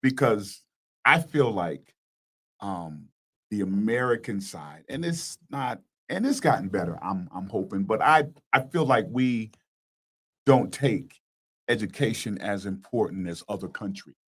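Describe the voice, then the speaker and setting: A man hosting a talk show and interviewing guests in a studio, animated and casual, often restarting his words. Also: on the low side, measured pace, slightly rough. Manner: animated, laughing, stumbles over restarts.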